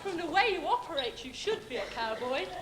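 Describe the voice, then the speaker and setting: A woman's voice: high-pitched, very animated, fairly quick, a crowd in the background. A woman playing a sardonic, needling tribeswoman with dry retorts in an amateur stage pantomime. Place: theatre stage. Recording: echoey, noisy stage recording.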